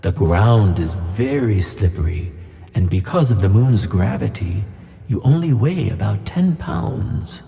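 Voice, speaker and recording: A man playing a wise, gentle, fatherly giant flying tuna in a children's audio play, calm and reassuring. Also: fairly low pitch, measured pace, creaky, soft background music. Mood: kindly, firm. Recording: long cavernous reverb on voice.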